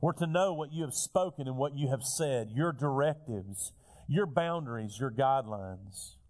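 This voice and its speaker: A male pastor preaching a sermon while fighting a cold, his voice a little raspy, building earnest lists of repeated phrases. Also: medium pitch, lively intonation, normal speed, darkish tone. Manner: earnest preaching, repetitive lists, rising emphasis. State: has a cold, slightly raspy.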